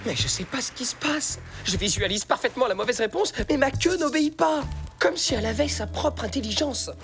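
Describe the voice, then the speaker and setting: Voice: mid-range voice, expressive, brisk, noisy background, natural voice. An actor voicing a good-natured but dim fox posing as a pet dog in a French cartoon, hesitant and puzzled. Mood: puzzled, earnest.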